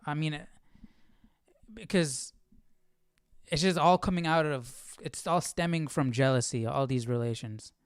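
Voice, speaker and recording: A man's voice: a little high, clear, resonant tone, dry recording, studio quality. A man hosting a casual live Bible study stream, thinking aloud in an informal, hesitant way.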